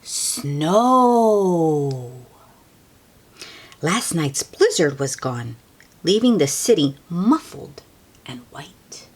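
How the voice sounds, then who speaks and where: Slow, expressive woman reading a storybook. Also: lowish voice, slightly rough. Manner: slow, expressive, hushed at times, explains words simply. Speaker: children's book read-aloud host. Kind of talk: storybook read-aloud with asides to children.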